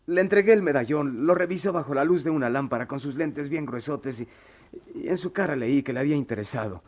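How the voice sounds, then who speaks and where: An actor playing a troubled, proud, remorseful working-class former pupil in a radio soap, with a Mexican accent and halting, colloquial speech. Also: male voice, mid-range voice, close to an everyday voice. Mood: emotional, halting. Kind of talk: melodramatic radio soap acting.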